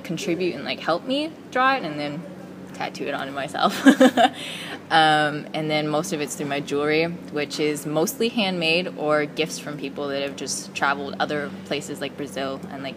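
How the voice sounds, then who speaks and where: A young woman student speaks reflectively, often filling pauses with like. Her voice is low for a woman. Clear ambient noise with passing vehicles runs behind her. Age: young adult. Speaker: university student. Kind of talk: interview answer.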